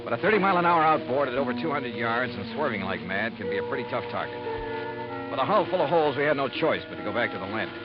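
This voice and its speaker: Man acting as sharp detective narrator, probing, clipped, animated, old radio drama, music underneath. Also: medium pitch, quick pace, natural voice. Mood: brisk, matter-of-fact. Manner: clipped, hard-boiled, probing. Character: sharp, steady male insurance investigator, hard-boiled narrator. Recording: muffled vintage radio recording, music underneath.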